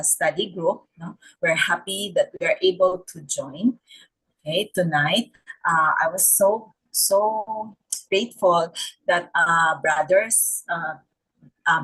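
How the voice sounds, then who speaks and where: A woman's voice: on the low side, fairly bright tone, husky, poor audio. A woman from the Philippines sharing a reflection on a video call, hesitant, with many "uh"s and a tag "no?". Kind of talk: casual spoken reflection.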